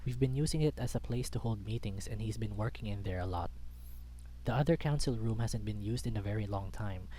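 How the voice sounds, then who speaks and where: A man's voice: fairly low pitch, fairly quick, warm tone, a little hiss or hum. A man reading a visual novel aloud as dramatic scripted narration. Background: background music.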